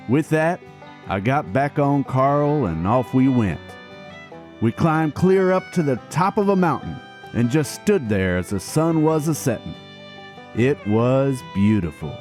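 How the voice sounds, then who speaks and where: A man's voice: mid-range voice, a little mellow. A man playing a folksy cowboy with an American cowboy accent, drawling out a rustic trail tale for a gaming video. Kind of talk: scripted campfire-style story narration.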